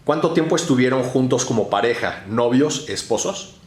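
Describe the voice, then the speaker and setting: A man hosting an interview, asking polite, measured questions. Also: medium pitch, lively intonation. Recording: roomy echo.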